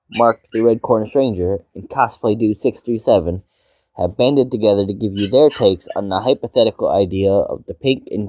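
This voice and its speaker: A man's voice: fairly low pitch, mellow, no echo. A man delivering a scripted introduction as host of an online video. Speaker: channel council member introducing the video.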